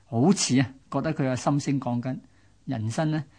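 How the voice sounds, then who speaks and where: A man's voice: medium pitch, normal speed, dark tone. A man with a Hong Kong accent co-hosting radio, talking reflectively and explaining things in a conversational storytelling way. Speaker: radio co-host. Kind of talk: conversational radio storytelling about local history.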